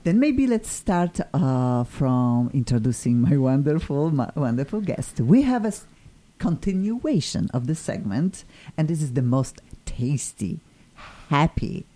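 Polish lady hosting live radio, gets all excited, pitch jumping around, mellow dark voice. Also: unhurried. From Poland. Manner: excitable, sweeping pitch swings, broken English phrasing. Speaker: radio show host. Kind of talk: live radio talk show.

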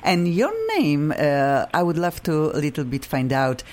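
Polish woman co-host, lively accented English. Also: deep voice, measured pace. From Poland. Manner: animated, broken English phrasing. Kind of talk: radio talk show chat.

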